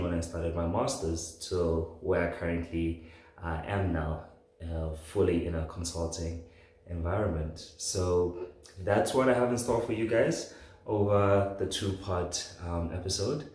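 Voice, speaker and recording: A man's voice: sits low, darkish tone. A man in his late twenties from southern Africa telling his story casually as a solo podcast host, in an informal, conversational way. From southern Africa, born in Zambia. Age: late 20s. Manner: informal, conversational, often says "like". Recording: very echoey room.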